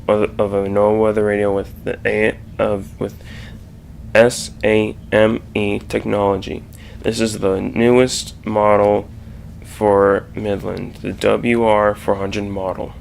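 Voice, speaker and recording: A man narrating a slide presentation in a halting, explanatory way. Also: fairly low pitch, flat delivery, vocal fry, darkish tone. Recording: faint background noise.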